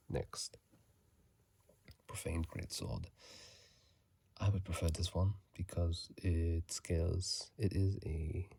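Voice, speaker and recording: A man giving casual, halting ASMR commentary in a hushed, breathy whisper, sleepy and close to a phone microphone. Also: low voice. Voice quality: breathy, gravelly soft whisper. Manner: slow, halting, hushed delivery. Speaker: video host.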